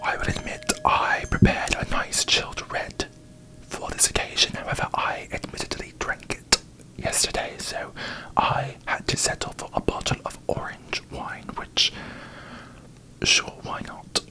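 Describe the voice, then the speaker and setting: A performer voicing a haughty, disdainful fantasy queen in a breathy ASMR whisper, with pompous, deadpan, regal diction, as a comic parody. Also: unhurried, lightly acted, low-quality recording. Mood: scornful, dry.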